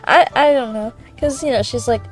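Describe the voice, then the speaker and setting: A woman's voice: on the high side, normal speed, soft background music. A woman casually reacting to a video game as a streamer, musing hesitantly and giggly. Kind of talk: casual reaction commentary over gameplay.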